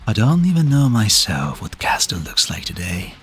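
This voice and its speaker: Guy voicing ancient tired old immortal man, really low voice, wry n cryptic, breathy, swings up and down, music behind. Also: big pitch swings, moderate pace, a bit bright, full character voice. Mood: wistful, weary. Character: ancient weary long-lived male being, cryptic and wry. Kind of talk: fan-dub game drama acting. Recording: background music under the voice.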